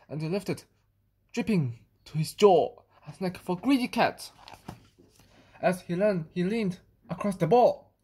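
A woman reading a children's picture book aloud, sometimes halting and repeating phrases. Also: on the low side, a little mellow, slightly rough. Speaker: storybook reader.

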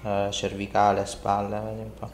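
A middle-aged man working as a chiropractor, talking calmly to a client in short, reassuring instructions and questions. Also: fairly low pitch, normal speed. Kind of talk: calm talk to a client during treatment. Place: indoor home-like treatment studio. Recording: roomy echo, background noise.

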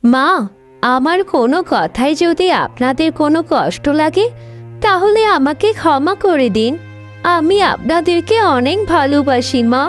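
A woman voicing a gentle, patient young daughter-in-law who speaks softly and politely in an animated moral story. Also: high voice, measured pace, soft background music. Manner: soft, pleading, polite.

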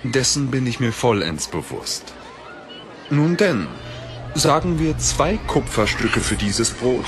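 A man playing a calm, mysterious young mage who speaks politely and formally in a fantasy audio drama. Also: mid-range voice, slow pace, music in the background, a crowd in the background. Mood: smooth, courteous.

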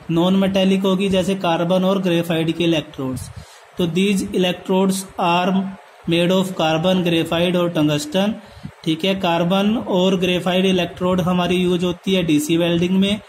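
A man with an Indian accent teaches like a lecturer going through notes. His voice is high and stays very flat, and he rephrases as he goes. There is a light but steady noise behind him. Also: low-quality recording. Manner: switches between Hindi and English terms; repeats and rephrases points. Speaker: polytechnic lecturer. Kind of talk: lecture read from handwritten notes, explained step by step.

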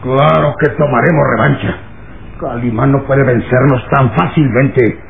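Menacing, creaky-voiced villain boss. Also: male voice, mid-range voice, fairly even intonation, unhurried, echoey room. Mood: vengeful. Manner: menacing, vengeful, commanding. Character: cruel, furious villainous slave-camp boss. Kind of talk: vintage radio drama acting. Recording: tinny, noisy old radio recording.

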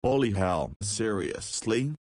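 A man's voice: fairly low pitch, expressive, slow pace, a little mellow, no room sound, clean studio sound. A man voicing a cheeky, easygoing nineteen-year-old in a visual novel, with casual, slangy speech. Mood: curious. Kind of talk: visual novel character dialogue.

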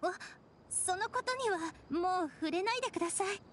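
A woman voicing a composed, courteous young female strategist in an anime game, speaking formally and gently. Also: extremely high pitch, animated, moderate pace, slight background noise. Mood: embarrassed. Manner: soft-spoken, courteous, formal. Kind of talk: Japanese anime game voice acting.

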